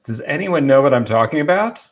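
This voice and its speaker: A man co-hosting a casual live show, presenting informally to viewers with halting, repeated phrases for emphasis. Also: on the high side, animated. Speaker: talk show co-host.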